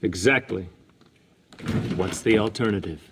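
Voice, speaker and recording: In long reverb with clear noise behind, an actor plays a stern lawman for a video game. His voice sits fairly low and his delivery is blunt and curt. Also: a little slow, natural voice. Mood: curt, contemptuous. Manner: terse, blunt. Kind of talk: video game cutscene acting.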